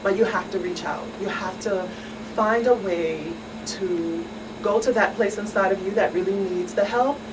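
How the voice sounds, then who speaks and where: A woman speaking as a talk show panelist in an earnest, deliberate, emphatic and compassionate way. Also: mid-range voice. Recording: distant mic, loud air-conditioning noise.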